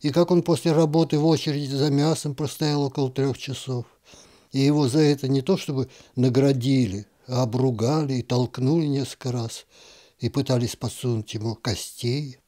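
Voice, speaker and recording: A man narrating a short story in an expressive literary reading, with a wry, ironic delivery. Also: medium pitch.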